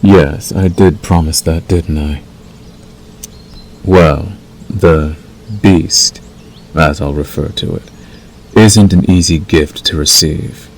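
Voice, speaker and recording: A man voicing a possessive werewolf lover in intimate ASMR roleplay, menacing yet tender, coaxing slowly and soothingly. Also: very deep voice, steady tone, full character voice, rough recording. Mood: teasing, possessive. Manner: slow, soothing, coaxing with dark undertone.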